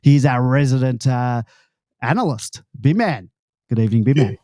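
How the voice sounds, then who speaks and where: A man hosts a casual football podcast with a mellow, relaxed tone and wry asides. His voice sits close and dry, with no room sound. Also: mid-range voice, normal speed, dry recording. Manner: relaxed, wry, introduces guests and reads feedback. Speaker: podcast host.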